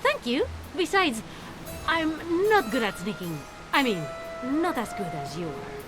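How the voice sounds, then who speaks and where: A bold young heroine of a swashbuckling game. The high voice moves animatedly, sassy and full of spirit, with clear music behind. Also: female voice, huge pitch swings, measured pace. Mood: playful, warm. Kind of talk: animated video game acting.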